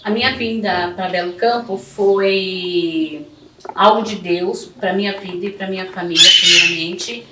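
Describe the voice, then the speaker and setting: A woman's voice: mid-range voice, measured pace, bright, forceful tone. A middle-aged woman gives personal testimony in conversation, devout, colloquial and emphatic. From São Paulo, Brazil. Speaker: interview guest telling her life story. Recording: echoey, distant mic, clearly noisy.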